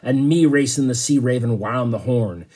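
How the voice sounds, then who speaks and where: A man voicing a gruff, short-tempered sea captain from a storybook, blustering and growling. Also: mid-range voice, normal speed, warm tone, natural voice. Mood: grumbling. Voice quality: roaring, growling.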